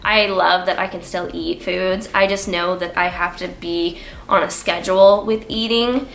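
A woman's voice: on the low side, fairly even intonation, measured pace, vocal fry, fairly bright tone, a little hiss or hum. A young woman with a stuffy, congested voice, chatting casually to camera as a vlogger and filling her talk with "like". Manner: chatty, lots of filler "like". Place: small room indoors. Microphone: camera on a tripod, framed from the waist up.

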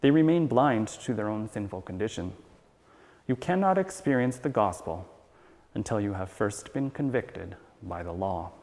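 A man's voice: on the low side, animated, moderate pace, darkish tone. Man reading a sermon in a reverberant hall. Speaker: church elder reading a sermon aloud. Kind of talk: read sermon. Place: church sanctuary at a wooden pulpit, indoors.

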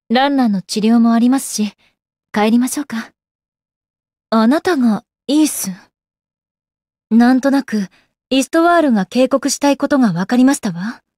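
A woman's voice: fairly high pitch, darkish tone, no room sound, studio quality. An actress playing a proud, composed young goddess warrior in a game, with refined, ladylike and formal speech. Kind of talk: Japanese anime game character acting. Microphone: close dry studio microphone.

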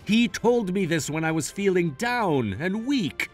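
An earnest young gangster from an anime cartoon. The voice sits a little high and moves freely up and down. He sounds loyal and keen as he addresses viewers, over light music. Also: expressive, unhurried. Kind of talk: anime voice acting, presenting to viewers.

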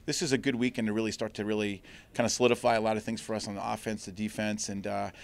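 Fast-talking gravelly middle-aged coach. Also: male voice, lowish voice, flat delivery. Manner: earnest, rambling, many restarts and fillers. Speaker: head lacrosse coach. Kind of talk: postgame interview. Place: indoors before a sponsor backdrop. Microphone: handheld mic held by interviewer.

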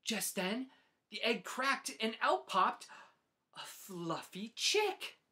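A man in his forties narrating a children's storybook as host, with deliberate, dramatic phrasing. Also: high-pitched, sing-song, sharp tone. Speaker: host reading picture books aloud.

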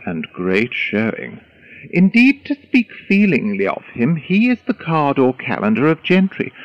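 An actor playing a foppish, flattering courtier in a Shakespearean audio drama, with a mannered, flowery, deferential delivery. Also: male voice, medium pitch, no echo, dull audio. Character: foppish, flattering young male courtier, wordy and eager.

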